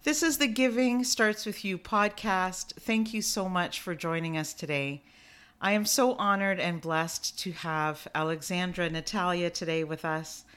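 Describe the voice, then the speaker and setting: A woman's voice: fairly low pitch, a little slow, a bit bright, clean tone. A woman hosting her own podcast, opening with an emphatic, inspirational intro and then interviewing a guest. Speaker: podcast host.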